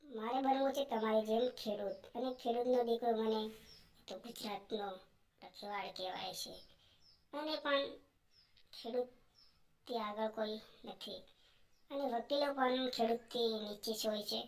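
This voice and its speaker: Woman as an earnest high-voiced farm boy. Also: unhurried, long pauses, darkish tone. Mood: stubborn, proud. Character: earnest poor farm boy, devoted to his uncle. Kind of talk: cartoon story dialogue.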